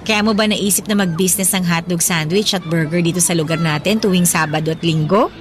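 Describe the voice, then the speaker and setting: A woman playing a caring, supportive young wife in a radio drama, speaking gently and conversationally. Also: on the low side, a little fast, music in the background, natural voice. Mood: gentle, reassuring. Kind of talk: Tagalog radio drama dialogue.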